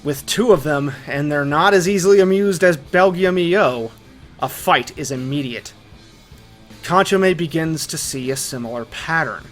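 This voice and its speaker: A man's voice: a little high, fairly bright tone. A man giving scripted, emphatic storytelling narration as the narrator of an analytical video essay. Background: background music.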